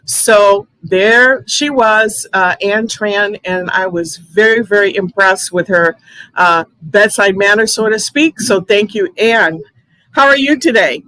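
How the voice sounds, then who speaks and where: A woman's voice: mid-range voice, slow pace, bright, forceful tone, dry recording, rough recording. A woman hosting a live online interview, chatty, with many fillers and restarts. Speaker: host.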